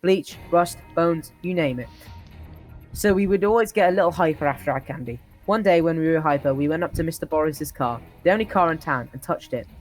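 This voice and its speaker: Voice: mid-range voice, animated, brisk, faint music behind, rough recording. A person reading a comic tall tale as an old-timer recalling a harsh childhood, often stumbling and breaking into laughter.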